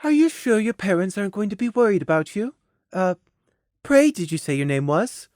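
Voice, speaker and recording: A woman voicing a refined unicorn mare in a storybook, soft, polite and hesitant. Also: mid-range voice, lively intonation, fairly quick, dry recording, pitched above the performer's own voice, close to an everyday voice. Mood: forced composure. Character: refined unicorn mare mayor, kindly masking despair.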